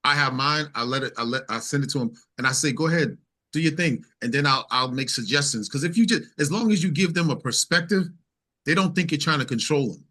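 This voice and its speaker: A man's voice: fairly high pitch, fast, sharp tone. A man speaking as a musician guest in casual conversation, explaining things and checking for agreement.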